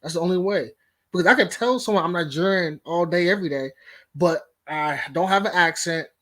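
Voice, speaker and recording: A first-generation American man of Nigerian descent joins a casual panel talk. He speaks in an animated way and often says "you know what I'm saying". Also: high voice, brisk. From United States. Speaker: panel guest.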